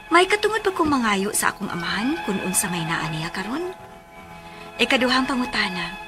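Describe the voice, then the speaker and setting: Radio drama letter-writer: aggrieved daughter, animated delivery, clear music bed underneath. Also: female voice, on the high side. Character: earnest grown daughter narrating her letter for legal advice.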